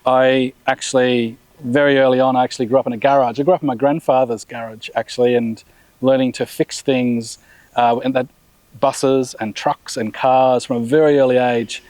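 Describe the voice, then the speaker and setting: A middle-aged man, a brand founder, chatting in a relaxed interview with many restarts and fillers. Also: mid-range voice, moderate pace, dry recording, poor audio. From Australia. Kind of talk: casual interview.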